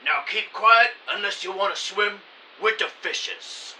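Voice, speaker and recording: An actor playing a short, plump, middle-aged gangster who barks threatening orders in old-time mobster slang in an audio drama. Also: male voice, high voice, takes it slowly, sharp tone, exaggerated voice. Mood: menacing. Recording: slightly distant, hissy home recording.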